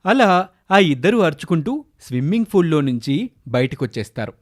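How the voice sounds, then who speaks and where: Animated high-pitched male storyteller. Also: brisk. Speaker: storyteller narrator. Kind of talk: scripted children's story narration.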